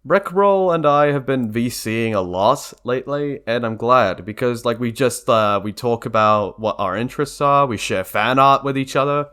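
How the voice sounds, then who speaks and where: A man's voice: medium pitch, normal speed, few pauses, sharp tone, clean tone. A young man speaks in a casual vlog style with a mid-range voice. He is earnest and informal, rambling along as he addresses his viewers. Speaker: video creator. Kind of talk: casual vlog talk to camera or mic.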